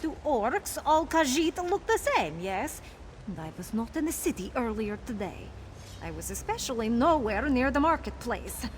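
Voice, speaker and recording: A woman's voice: on the high side, big pitch swings, normal speed, slight background noise. An actress voicing a sly, boastful female cat-folk rogue in a video game, wheedling and self-assured, speaking of herself in the third person. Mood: feigned innocence. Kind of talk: video game character dialogue.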